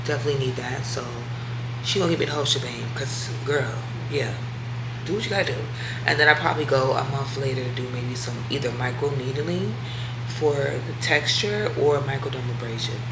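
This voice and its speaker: A woman's voice: rumbling low, brisk, background noise. A woman vlogger chatting casually to her viewers, slangy and talkative, with a congested voice and a sore throat. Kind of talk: casual vlog chat to camera.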